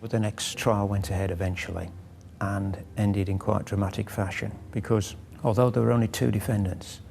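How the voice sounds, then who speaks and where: A man's voice: lowish voice, steady tone, moderate pace, creaky, a little mellow, soft background music. A man giving an explanatory interview for a crime documentary. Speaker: expert interviewee.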